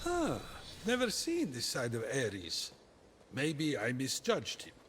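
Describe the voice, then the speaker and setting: Brash, wildly swooping comic god. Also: male voice, medium pitch, wildly swooping pitch, slow, fairly bright tone, slight background noise, full character voice. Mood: surprised, musing. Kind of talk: comic video game narration.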